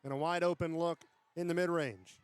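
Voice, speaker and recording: A man's voice: on the high side, steady tone, moderate pace, clean tone, dry recording. A man working as color commentator, giving analytical live sports commentary on a radio broadcast.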